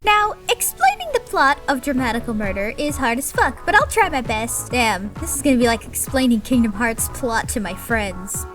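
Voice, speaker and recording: A woman's voice: fairly high pitch, sing-song, normal speed, hardly any pauses, over music. A woman reviewing an anime in a casual, snarky way, swearing freely and tossing in playful asides.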